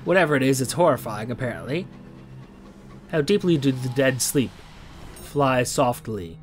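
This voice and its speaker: A man's voice: mid-range voice, expressive, normal speed. A man playing a space exploration game, thinking aloud with frequent hums and reading out the game's text, a little strained as he is losing his voice. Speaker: game streamer. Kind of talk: let's play commentary with reading of game text.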